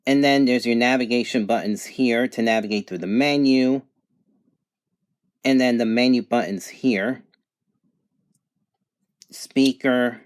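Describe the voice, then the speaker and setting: A man giving an unscripted product demonstration in a halting, matter-of-fact way. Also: medium pitch, clear, resonant tone, dry recording, clean studio sound. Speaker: product reviewer.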